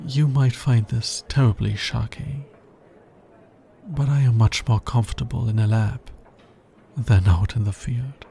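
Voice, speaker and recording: An anxious, logical alien scientist, acted by a man in an intimate ASMR roleplay. His voice is dark and creaky, and he talks very slowly, in careful, formal phrases that often halt. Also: medium pitch, steady tone, a little hiss or hum. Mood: calmer, fond. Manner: hesitant, formal, halting.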